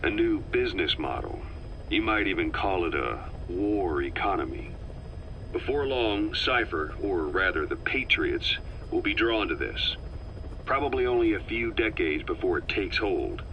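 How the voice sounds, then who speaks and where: An actor playing a shrewd, world-weary intelligence operative in a video game cutscene, speaking in a measured, knowing way. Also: male voice, deep voice, lively intonation, lo-fi sound, slight background noise. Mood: ominous, brooding.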